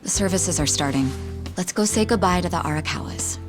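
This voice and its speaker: A woman playing a brisk, friendly member of a hero's crew in an English video game, speaking directly. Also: fairly low pitch, music in the background, natural voice.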